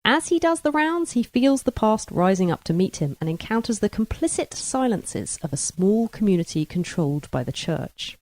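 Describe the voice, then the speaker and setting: A woman's voice: medium pitch, sing-song, moderate pace, hardly any pauses, a little mellow, dry recording. A woman hosting a podcast, talking through and introducing books in a discussion. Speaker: book club podcast host.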